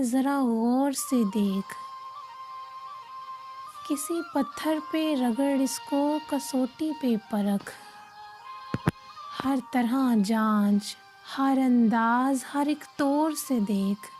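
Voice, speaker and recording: A woman reciting and presenting poetry in a measured, deliberate way. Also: on the high side, darkish tone. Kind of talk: expressive Urdu poetry recitation with explanatory introduction. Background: faint background music.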